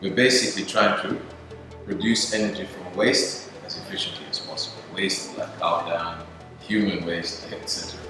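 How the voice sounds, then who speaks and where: A man's voice: low voice, fairly even intonation, normal speed. A man from Uganda explaining his lab work and studies, with some fillers. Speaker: master's student. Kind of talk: self-introduction. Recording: distant mic in a reverberant hall with music.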